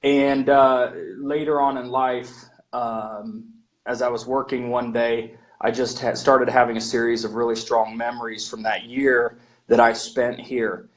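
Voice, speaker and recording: A man's voice: mid-range voice, level tone, unhurried, low-quality recording. A man with an American accent, a missionary, reflecting earnestly on his past with some hesitations over a video call. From United States.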